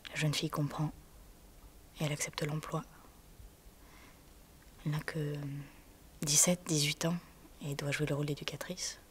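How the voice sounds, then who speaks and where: An actress delivering a theatrical monologue in a hushed voice that often drops to a whisper, pausing as she tells a story. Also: deep voice, level tone, slight background noise.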